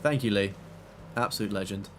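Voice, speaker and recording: A man's voice: lowish voice, a little fast, fairly bright tone, slight background noise. A young man chatting casually on a game live stream, rambling with fillers and casual swearing.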